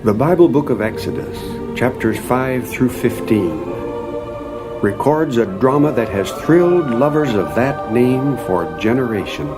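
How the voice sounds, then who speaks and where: A man's voice: mid-range voice, expressive, unhurried. A man giving formal, solemn scripted narration for a religious audio drama. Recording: narration over loud music from an old drama.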